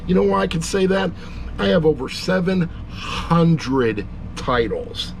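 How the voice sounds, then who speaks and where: A man's voice: on the high side, expressive, measured pace, gravelly, a little hiss or hum, poor audio. A middle-aged man giving a casual, rambling review, with blunt, jokey asides. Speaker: audiobook reviewer.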